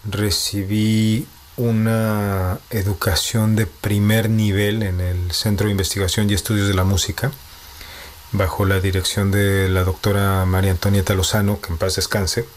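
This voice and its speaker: A man in his thirties with a Mexico City Spanish accent, a composer answering interview questions in a measured, reflective way, thinking aloud as he goes. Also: fairly low pitch, little pitch movement, slight background noise. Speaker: composer and postdoctoral researcher.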